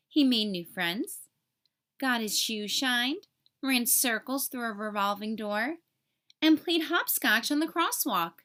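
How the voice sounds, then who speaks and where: A woman reading a scripted story aloud for children, expressively and with clear phrasing. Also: fairly high pitch, a bit bright. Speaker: storybook reader.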